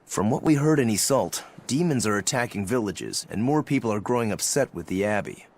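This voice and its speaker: Lowish male voice, laid-back fighter, wry, casual, video game, faint music. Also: expressive, a little fast, darkish tone. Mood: matter-of-fact.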